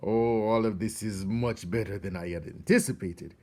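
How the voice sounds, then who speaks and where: A man's voice: lowish voice, steady tone, normal speed, darkish tone, close to an everyday voice. A man voicing a gleefully cruel, mocking rat ringleader in a storybook, relishing every word theatrically. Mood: gloating delight.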